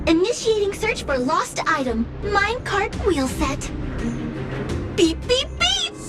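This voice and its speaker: A woman's voice: extremely high pitch, big pitch swings, takes it slowly, heavily acted. A woman voicing a small, chirpy household gadget robot in a video game, making cutesy, scripted machine announcements. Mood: chirpy, obliging. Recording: loud music over the voice.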